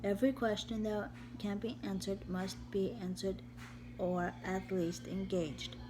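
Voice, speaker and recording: A woman reading a text aloud slowly and haltingly in a steady, even tone, often repeating words and restarting. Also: medium pitch. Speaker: vlogger. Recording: steady background hum.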